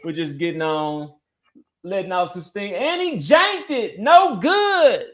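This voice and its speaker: A man's voice: high voice, sing-song, takes it slowly, a bit bright. American man hosting a sports podcast. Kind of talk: casual sports talk.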